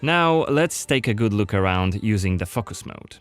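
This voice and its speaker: A man's voice: on the low side, lively intonation, normal speed, faint music behind. A man narrating a scripted gameplay showcase as its presenter.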